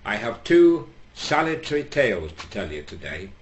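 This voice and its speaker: A man's voice: lowish voice, very animated, normal speed. Cheery english guy telling jokes, pokes fun at himself. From England. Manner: jovial, self-mocking. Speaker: joke-telling host. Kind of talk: joke telling.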